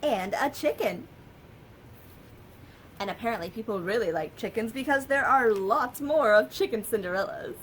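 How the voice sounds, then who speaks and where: An American woman, a children's librarian, telling a story and sharing books for kids in a lively, sing-song way. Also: a little high, normal speed, slight background noise.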